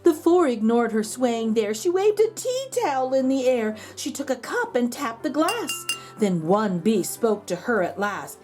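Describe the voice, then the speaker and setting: A woman reading a children's picture book aloud as a storyteller, with measured, expressive rhyming delivery. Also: fairly high pitch, faint music behind.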